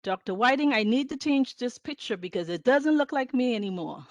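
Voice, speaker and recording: A woman's voice: fairly high pitch, expressive, a little fast, dry recording. Bubbly woman professor from the Bahamas. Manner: bubbly, laughs often, enthusiastic. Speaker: counseling professor. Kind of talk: casual self-introduction. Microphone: video call.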